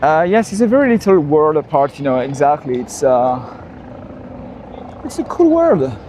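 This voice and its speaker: A man's voice: a little high, very animated, normal speed. A man with a French accent hosting a live walking tour, chatting as he strolls the city and often repeating himself with "you know" asides. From France. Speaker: walking tour livestream host. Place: outdoors on busy city streets. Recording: loud street traffic behind the voice. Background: city traffic and street noise.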